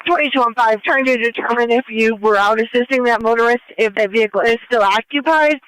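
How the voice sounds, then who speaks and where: A man with a high voice works police dispatch over two-way radio. He speaks quickly and cleanly, businesslike and procedural. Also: bright, forceful tone, smooth, no room sound, low-quality recording. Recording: two-way radio scanner feed.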